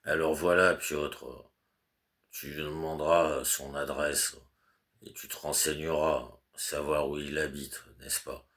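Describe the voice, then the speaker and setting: A man's voice: a bass voice, steady tone, slow, a bit bright, heavily acted. A man reading aloud and voicing a fussy, anxious middle-aged clerk who stammers and over-explains his orders. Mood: flustered, insistent. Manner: flustered, repeats fillers, fussy over-explaining. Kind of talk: audiobook character reading.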